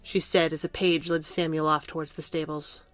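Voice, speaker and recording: A woman's voice: sits low, little pitch movement, moderate pace. A woman narrator reading scripted narration for a fantasy audio drama.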